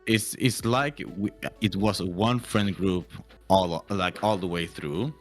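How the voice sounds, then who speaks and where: A young man chatting casually, halting and repeating words as he goes, with casual swearing. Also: sits low, soft background music. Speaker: podcast co-host. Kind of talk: casual chat.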